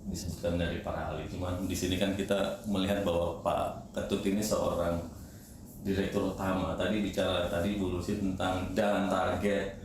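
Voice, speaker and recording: A man's voice: sits low, flat delivery, moderate pace. A man hosting a casual podcast interview. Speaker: podcast host. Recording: very echoey, noisy room.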